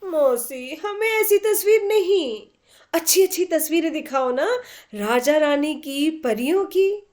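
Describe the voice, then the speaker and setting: A woman's voice: high-pitched, animated, takes it slowly, darkish tone, voice pushed up. A woman voicing a tender-hearted eight-year-old girl in a storybook reading, plaintive and pleading.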